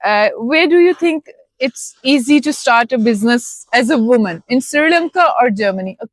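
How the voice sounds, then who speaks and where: Woman street interviewer, probing, recaps answers, slightly high voice. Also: expressive, moderate pace, no echo, poor audio. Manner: asks short probing questions, sums up answers.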